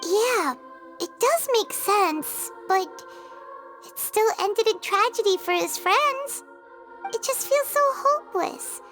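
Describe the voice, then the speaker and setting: A woman voicing a tiny, curious, naive fairy companion with a childlike, expressive manner in a video game. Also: very high-pitched, slow, soft background music, heavily acted. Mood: dejected. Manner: speaks of herself in third person, expressive. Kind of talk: scripted video game dialogue.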